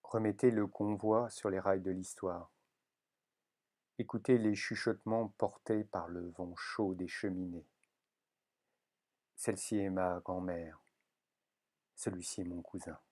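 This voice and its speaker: Slow-paced man reading poetry, fairly low. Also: flat delivery, darkish tone. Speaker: poetry reader.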